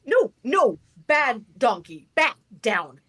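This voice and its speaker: A woman's voice: high-pitched, sing-song, takes it slowly, fairly bright tone, breathy edge, full character voice. A woman voicing a snobbish, irritable king and disapproving father in a comedic table read, haughty and scornful. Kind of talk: comedic table read of animated film script.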